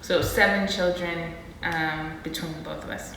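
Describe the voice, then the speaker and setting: A woman in her thirties chats through a couples Q&A with a bright, forceful tone and a low-set voice. She is animated and exclaims often. The room is echoey, with a long reverb tail and a faint hiss underneath. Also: normal speed. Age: 30s. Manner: animated, laughing, dramatic exclamations. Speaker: vlogger and co-host. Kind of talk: casual couples Q&A chat. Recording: roomy echo with faint noise.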